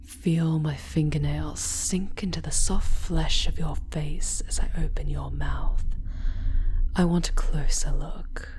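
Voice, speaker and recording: A woman voicing a sadistic, seductive dark elf noblewoman in an ASMR roleplay, mostly in a breathy, deliberate, imperious whisper close to the microphone. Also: low voice, fairly even intonation. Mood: fascinated, teasing. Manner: slow, deliberate, imperious and teasing.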